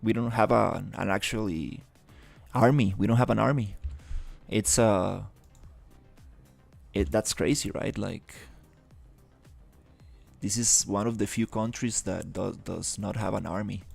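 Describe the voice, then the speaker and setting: A young man from Rica, chatting casually on a live stream, halting, with many fillers and restarts. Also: fairly low pitch, steady tone, gravelly, darkish tone, slightly rough, soft background music. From Costa Rica. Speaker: livestreamer.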